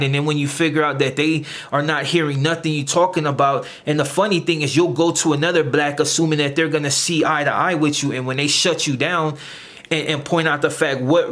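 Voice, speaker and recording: A man's voice: on the high side, a bit bright. Man ranting at his viewers. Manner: confrontational, lecturing viewers; halting, repeats words as he searches. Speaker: channel host. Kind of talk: direct-to-camera rant.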